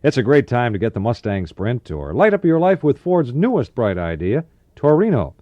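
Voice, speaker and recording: A man's voice: mid-range voice, very animated, normal speed, no echo, dull audio. An American man presenting as a 1960s AM radio DJ and announcer. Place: dry radio studio. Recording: 1960s radio aircheck, music behind.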